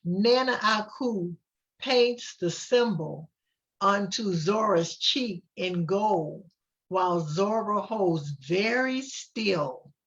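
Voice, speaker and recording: An older woman reading a children's picture book aloud in simple, deliberate short phrases. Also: medium pitch, dull audio. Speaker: volunteer storybook reader.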